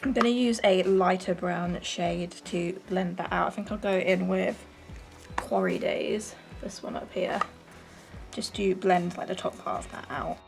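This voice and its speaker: A young woman with a croaky voice, chatting casually through her makeup routine in her bedroom, rambling and self-deprecating. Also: lowish voice, expressive, measured pace, over music. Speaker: beauty vlogger. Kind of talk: casual get-ready-with-me chat.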